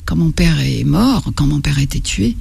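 An older woman from Algeria, a writer, talking slowly and reflectively in an interview, often stopping to search for her words. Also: sits low, animated, creaky, mellow, slightly rough, dry recording. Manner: halting, searching for words, many restarts.

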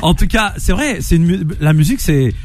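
A man's voice: fairly high pitch, expressive, fairly quick, over music. A man from France hosting a morning radio show, teasing his guests and filling gaps with hesitations. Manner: joking, teasing, many hesitations. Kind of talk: casual radio interview.

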